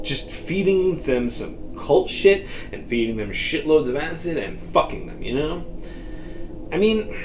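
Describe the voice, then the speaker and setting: A man's voice: fairly high pitch, slight background noise, poor audio. A man voicing a young drug-cooking chemist who rambles with lots of fillers and swearing. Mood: indignant. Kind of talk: audiobook dialogue reading.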